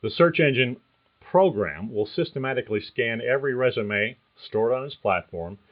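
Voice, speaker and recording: A man hosting a career podcast, reading a script in a measured, explanatory way. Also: medium pitch, lively intonation, muffled recording. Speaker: podcast host. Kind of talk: scripted narration.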